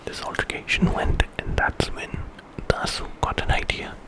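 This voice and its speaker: A man telling a story in whispered ASMR style, informally, as if making it up with casual asides. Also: moderate pace.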